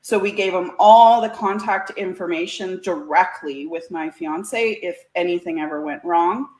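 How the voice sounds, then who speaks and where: A woman's voice: lowish voice, unhurried, a little mellow. A woman in casual conversation as an interview guest, chatty and folksy, often breaking into laughter. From Canada. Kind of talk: casual chat. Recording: roomy, echoey sound.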